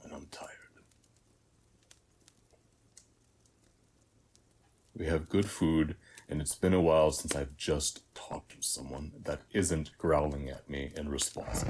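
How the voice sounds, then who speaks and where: A man playing a weary, battle-hardened warrior of Ares in a scripted audio roleplay, speaking in measured, reflective short phrases. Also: a little mellow, full character voice. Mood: tired, gentle. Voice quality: very deep, husky, breathy.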